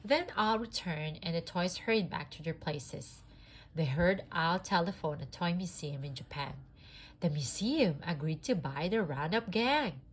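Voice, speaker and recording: Slow, lower-pitched woman reading a storybook. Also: slightly rough. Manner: deliberate, expressive. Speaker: storybook reader. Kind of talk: children's read-aloud narration.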